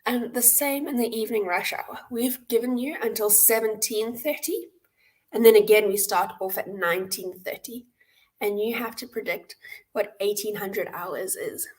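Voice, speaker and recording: A woman's voice: mid-range voice, moderate pace, a little mellow, poor audio. A woman with a South African accent hosting an online walkthrough, explaining things informally step by step. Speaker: hackathon host and presenter. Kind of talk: live platform walkthrough, instructional. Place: indoor room, on a livestream webcam.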